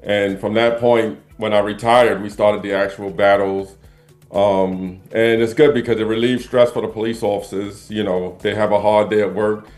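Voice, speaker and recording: A man's voice: lowish voice, flat delivery, normal speed, darkish tone. A middle-aged man from the United States speaking as an interview guest, explaining things in a casual way. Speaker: interview guest, retired police officer and DJ teacher. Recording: music playing behind the voice.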